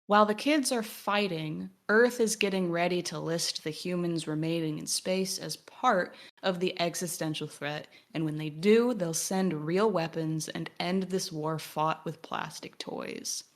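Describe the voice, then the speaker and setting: A woman's voice: on the low side, measured pace, few pauses. A woman co-hosting a podcast, talking through a video game in an analytical, wordy way as she thinks aloud. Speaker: podcast co-host. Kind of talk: discussion of a video game, partly reading text aloud.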